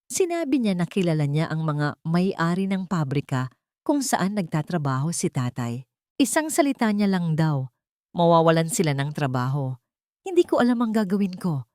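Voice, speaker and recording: A woman's voice: lowish voice, sing-song, normal speed, darkish tone, no room sound, studio quality. A woman with a Filipino accent voicing a frightened, despairing young woman reading out her secret letters in a story. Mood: fearful, despairing.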